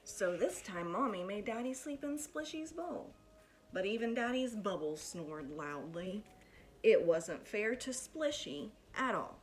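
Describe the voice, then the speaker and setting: A woman with a medium-pitched voice reads aloud to young children as a teacher would. Her pace is slow and deliberate, with emphasized words and expressive storytelling. Faint music accompanies her. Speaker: teacher reading a picture book aloud to pupils.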